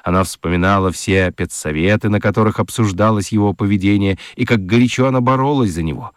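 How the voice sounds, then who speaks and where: A man's voice: fairly low pitch, quick pace, no room sound, clean studio sound. A man narrating a children's radio story expressively from a script.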